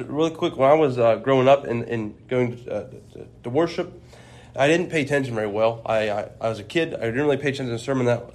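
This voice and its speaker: A man's voice: mid-range voice, darkish tone. A man co-hosts a casual religious podcast. He speaks earnestly and thoughtfully, circling back and restarting his phrases as he works out his point. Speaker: podcast co-host.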